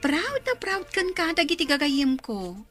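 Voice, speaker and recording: An actress playing a proud, affectionate older sister in a radio drama, effusive, with a northern Philippine accent. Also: female voice, sits high, sing-song, unhurried. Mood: proud, delighted. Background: music.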